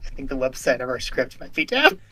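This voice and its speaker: A woman's voice: extremely low pitch, big pitch swings, fairly quick, bright, forceful tone. A woman co-hosting a game read-along, talking casually between script readings.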